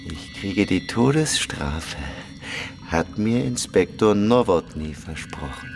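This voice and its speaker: A confessed bomber, a simple Soviet villager with a lowish voice. He speaks very slowly and deadpan, matter-of-fact to the point of chill. The radio play puts him in a long, ringing reverb with music behind. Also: gravelly, heavily acted. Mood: flat confession. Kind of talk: radio crime drama acting.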